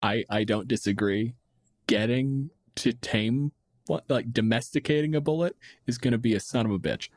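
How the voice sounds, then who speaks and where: A man's voice: mid-range voice, normal speed, no echo. A man chatting casually as a podcast host, riffing on game monsters in a dry, blunt way and swearing freely. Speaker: podcast host answering listener questions.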